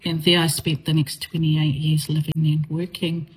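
A middle-aged woman from New Zealand, a teacher, answering radio interview questions in a measured way with frequent ums and uhs. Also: deep voice, flat delivery, a little hiss or hum.

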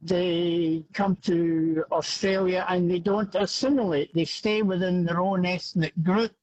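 A man's voice: high voice, steady tone, unhurried, darkish tone. A man with a Scottish accent calling in to a talkback radio show, speaking in a blunt, opinionated way. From Scotland. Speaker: caller. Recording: dull phone line.

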